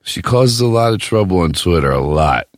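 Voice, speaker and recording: Really low deep guy podcast host, crude n jokey, voice swings around a lot, dry close sound. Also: animated, moderate pace, no room sound. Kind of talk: casual chat.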